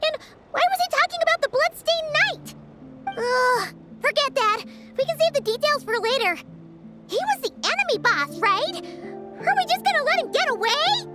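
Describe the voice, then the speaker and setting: A woman voicing a tiny, childlike, excitable fairy companion in a video game, with a squeaky voice and an inquisitive manner. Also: moderate pace, music in the background. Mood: puzzled, indignant. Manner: speaks of herself in third person, inquisitive. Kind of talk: animated video game character acting.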